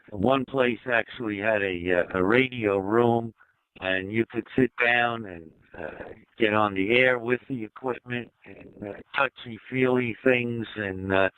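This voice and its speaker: An older American man chatting casually and reflectively with long pauses, heard over a digital ham radio link. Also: fairly low pitch, clear, resonant tone, no echo, narrow, tinny audio. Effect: digital ham radio voice codec. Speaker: amateur radio operator. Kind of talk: unhurried casual radio chat. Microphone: two-way radio microphone.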